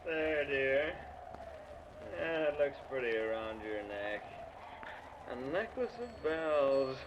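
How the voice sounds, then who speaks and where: An actor playing a mocking, cackling, murderous ghost in an old-time radio horror drama. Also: male voice, mid-range voice, animated. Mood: cruel gloating. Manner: slow, drawn-out, mocking, cackling. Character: taunting murderous ghost luring a man upstairs, gleefully cruel. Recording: muffled, noisy old radio drama recording.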